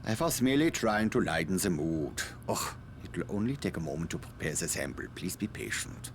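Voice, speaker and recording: An actor playing an evasive, self-justifying scientist in a video game, speaking formally and precisely with polite condescension. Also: male voice, sits low, lively intonation, fairly quick, noisy background. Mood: coaxing. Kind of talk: dramatic video game cutscene acting.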